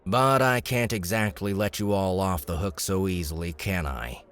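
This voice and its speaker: A man's voice: low-pitched, normal speed, a bit bright. A man voicing a shrewd, smug young noble in an audiobook, speaking in a glib, formal, commanding way. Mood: stern, commanding. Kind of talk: audiobook character dialogue.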